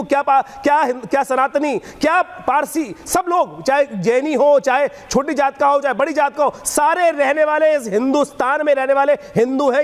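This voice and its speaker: A man giving impassioned political oratory as a debate panelist, declamatory, with rhetorical questions and repetitive lists. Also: very high-pitched. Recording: echoing hall-like reverb.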